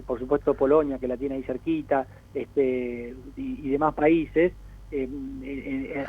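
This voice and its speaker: An Argentine man with a somewhat high voice speaks over a thin, band-limited phone line. He explains world affairs off the cuff as an interview guest, in a discursive way, acting out imagined quotes along the way. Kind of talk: spontaneous explanatory commentary. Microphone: telephone call. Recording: narrow tinny phone line.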